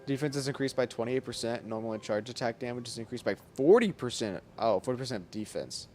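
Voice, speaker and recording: A man's voice: mid-range voice, animated, fast talker. A man giving casual let's play commentary, slangy and reactive, talking back to the game. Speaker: gaming content creator. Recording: game music loud behind the voice. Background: video game music.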